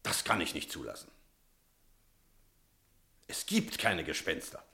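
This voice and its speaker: An actor playing an energetic, rational village priest in a German radio drama, speaking in a decisive, emphatic way. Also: male voice, extremely low pitch, measured pace, vocal fry, exaggerated voice. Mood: stern, reasoning. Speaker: character in a radio play.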